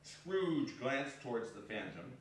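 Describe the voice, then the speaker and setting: A man's voice: mid-range voice, very animated, takes it slowly. A man narrating a scripted ghost story in a dramatic stage reading. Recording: very echoey hall, distant mic, faint noise.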